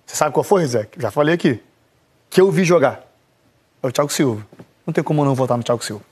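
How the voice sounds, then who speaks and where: A man's voice: a little high, lively intonation, fast talker, a little mellow, husky. A man in his thirties with a Brazilian accent, a football pundit who argues emphatically and repeats his points. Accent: Brazilian Portuguese. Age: 30s.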